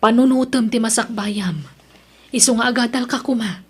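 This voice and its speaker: A woman's voice: on the high side, normal speed, breathy edge. A woman with an Ilocano accent playing a patient, pleading mother in an audio drama, earnest and coaxing. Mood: pleading, encouraging. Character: patient, pleading mother urging her child onward.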